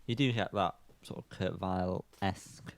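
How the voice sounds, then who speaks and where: A man's voice: sits low, steady tone, measured pace, no room sound. A man co-hosting a music podcast, giving informal opinions in casual chat with the odd swear word.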